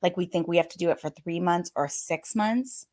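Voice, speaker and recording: A woman, a little hoarse, chatting brightly as host of a live stream for coaches. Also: lowish voice, normal speed. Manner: bubbly and chatty, says "y'all", laughs often. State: slightly hoarse. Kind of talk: casual live-stream talk.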